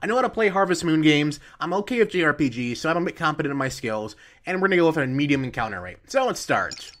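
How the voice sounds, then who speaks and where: Animated, chatty gamer giving let's play commentary. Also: medium pitch, fast. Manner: chatty, thinking aloud. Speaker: gaming video host.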